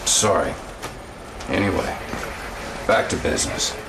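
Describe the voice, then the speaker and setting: An actor playing a weary, doubting field officer in a video game cutscene, talking in a blunt, confiding, colloquial way. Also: male voice, sits low, lively intonation, unhurried, exaggerated voice. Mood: troubled, blunt. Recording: distant mic, clearly noisy background.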